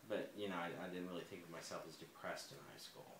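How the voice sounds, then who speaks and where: Deep-voiced man in his forties, hesitant. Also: steady tone, quick pace. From United States. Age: 40s. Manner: hesitant, soft-spoken, many fillers and restarts. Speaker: interviewee. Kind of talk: personal interview. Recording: echoey room, faint ambient noise.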